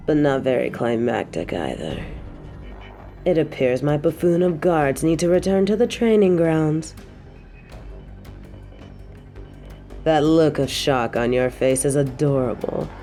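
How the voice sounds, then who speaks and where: Woman playing a slow-talking, haughty evil queen. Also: deep voice, expressive, warm tone, soft background music. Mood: mocking, amused. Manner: slow, taunting, imperious, with breathy drops to a whisper. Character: evil, possessive, haughty adult queen and dictator. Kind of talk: scripted audio roleplay drama.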